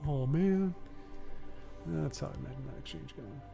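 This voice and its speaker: A man's voice: fairly high pitch, normal speed, gravelly, dark tone, highs cut off, soft background music. A man hosting a card game livestream, chatting casually and rambling, often stammering and repeating words. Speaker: stream host and commentator.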